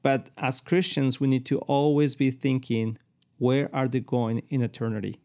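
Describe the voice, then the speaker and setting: A man's voice: mid-range voice, level tone, normal speed, darkish tone. Earnest man co-hosting. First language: Spanish. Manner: earnest, restarts often, mixes in Spanish. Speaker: podcast co-host. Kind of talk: casual chat.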